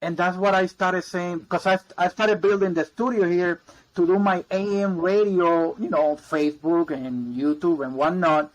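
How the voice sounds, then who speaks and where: A man's voice: high voice, poor audio. Man, rambling guest. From Puerto Rico. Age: 40s. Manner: rambling, repeats words, many ums and uhs. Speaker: guest, mortgage broker. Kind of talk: casual interview chat.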